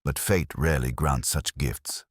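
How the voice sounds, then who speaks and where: Male narrator as enigmatic female adversary for audiobook: deep, measured, sorrowful, fatalistic, dry sound. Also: no room sound. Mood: resigned. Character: mysterious woman adversary, poised, sorrowful, fatalistic. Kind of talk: audiobook character dialogue.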